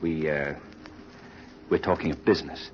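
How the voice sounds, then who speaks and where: An actor playing an earnest, hesitant young bridegroom in a 1930s radio drama. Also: male voice, very deep voice, fairly even intonation, moderate pace. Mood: uneasy, subdued. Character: earnest, troubled young bridegroom, polite son-in-law. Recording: muffled, noisy old radio recording.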